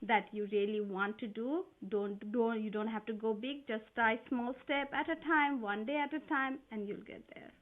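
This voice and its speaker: A woman whose first language is Nepali, speaking English as a physician guest in an earnest, halting way with frequent restarts, over a video call. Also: a little high, fairly even intonation, phone-quality sound. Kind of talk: casual interview chat.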